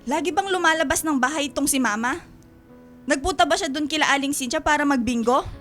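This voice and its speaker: A worried adult daughter in a Filipino radio drama. Her voice is very high and piercingly bright as she pleads and accuses, with music playing underneath. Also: brisk. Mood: accusing, suspicious. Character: Wendy, worried, reproachful adult daughter and breadwinner.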